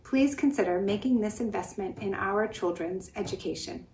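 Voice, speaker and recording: A woman's voice: medium pitch, normal speed, a little mellow. A woman reading a scripted announcement as a representative of a parent organization. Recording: roomy echo with clear background noise.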